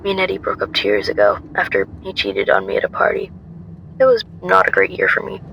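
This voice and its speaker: A woman's voice: mid-range voice, very animated, fast, vocal fry, a bit bright, slight background noise, rough recording. A woman playing a frightened but determined young woman on the run in a first-person radio drama. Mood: reflective.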